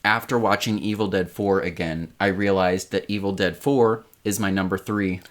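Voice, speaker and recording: A young man co-hosting a podcast in casual chat, rambling and animated, laughing often. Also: sits low, normal speed. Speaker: podcast co-host.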